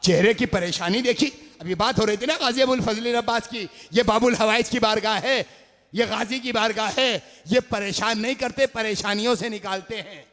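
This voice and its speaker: A man with an Urdu accent delivering a majlis sermon, rising to shouts and sung phrases as he calls out to the gathering. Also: sits high, moderate pace. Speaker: majlis orator. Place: large religious gathering hall. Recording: long hall reverb.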